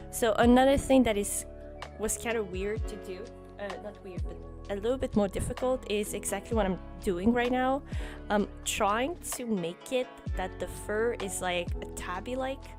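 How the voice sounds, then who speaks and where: A young woman with a French Canadian accent, French her first language, narrating her journal drawing in a relaxed, rambling way with many ums. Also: mid-range voice, normal speed. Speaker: journaling YouTuber. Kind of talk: voice-over narration of a drawing session. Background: background music.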